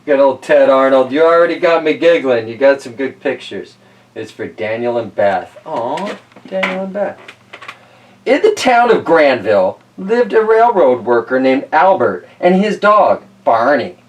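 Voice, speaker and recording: A man's voice: medium pitch, very animated, measured pace. A man hosting a casual, chatty read-aloud of picture books, playful and folksy, with rambling asides. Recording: roomy distant mic with slight noise.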